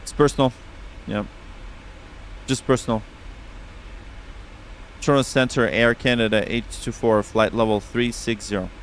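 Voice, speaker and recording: A man's voice: mid-range voice, steady tone, normal speed, long pauses, slight background noise. A man with a Russian accent streaming a flight sim, chatting casually with viewers and reading back radio calls on a headset.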